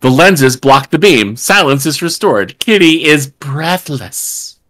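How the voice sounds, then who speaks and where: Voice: mid-range voice, lively intonation, takes it slowly, fairly bright tone. A person on a video call reading stage directions aloud, with exasperated, sweary joking asides. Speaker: table read participant reading stage directions. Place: home room, remote video call.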